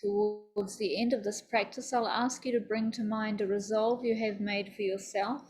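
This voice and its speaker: A woman teaching yoga nidra, leading a guided meditation in a soothing, deliberate, instructive manner. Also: mid-range voice, steady tone, a little mellow.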